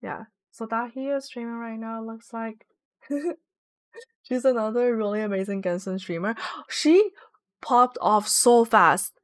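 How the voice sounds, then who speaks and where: A young woman on a home live stream talks in a loose, rambling way, full of "like" and restarts. Her voice sits a touch high, and the sound is close and dry with no room echo. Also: slow pace. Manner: chatty and rambling, with many "like" fillers. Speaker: Twitch streamer. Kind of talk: casual live-stream chat while gaming.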